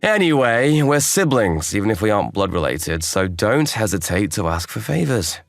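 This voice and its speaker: Man playing animated, easygoing young programmer. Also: on the low side, moderate pace, gravelly, dry recording, studio quality. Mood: gentle, flustered. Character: calm, kindly young male programmer, step-brother and mentor. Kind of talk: anime-style manga dub with inner narration.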